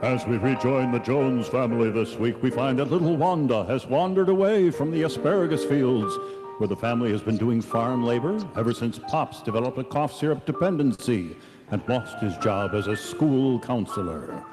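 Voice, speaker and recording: A man's voice: medium pitch, moderate pace, lightly acted, low-quality recording. A man playing a formal, dramatic old-time radio announcer narrating comic serials. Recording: loud music behind the voice.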